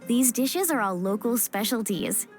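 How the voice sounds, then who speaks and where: Young warrior woman for a game: casual, supportive, high-pitched, music underneath. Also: animated, unhurried. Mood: upbeat. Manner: casual, encouraging, plain-spoken. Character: upbeat, confident, supportive young female warrior. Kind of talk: video game voice acting. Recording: music behind the voice.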